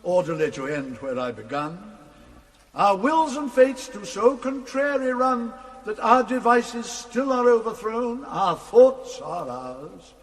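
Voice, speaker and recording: A man's voice: high-pitched, big pitch swings, slow, heavily acted. An actor playing a grave, weary, fond king, delivering formal, declamatory verse in a classical audio drama. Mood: solemn, reflective. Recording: long hall reverb.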